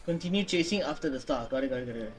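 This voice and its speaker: A man's voice: medium pitch, expressive, normal speed. A young man giving casual reaction commentary as a game streamer, sometimes reading dialogue aloud. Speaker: gaming streamer. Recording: faint noise, game audio behind. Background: game audio.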